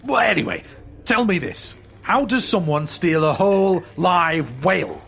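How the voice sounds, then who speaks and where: An actor playing a brash, greedy, boastful whale-oil tycoon in a comedic audio drama, with a bombastic, blustering delivery. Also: male voice, high-pitched, big pitch swings, unhurried, vocal fry, a bit bright, exaggerated voice.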